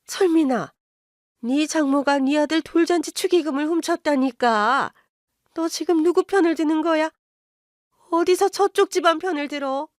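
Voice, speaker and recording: A woman voicing a shrill, pushy older mother-in-law who scolds and accuses in a high, indignant voice. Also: moderate pace, dry recording, clean studio sound, close to an everyday voice. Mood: outraged.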